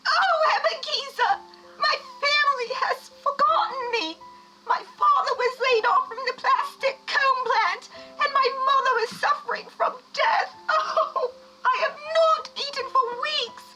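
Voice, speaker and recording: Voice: very high voice, unhurried, bright, forceful tone, soft background music, heavily acted. A performer playing a poor young girl, pleading and wailing melodramatically in a comic radio play. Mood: tearful pleading. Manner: wailing, overwrought.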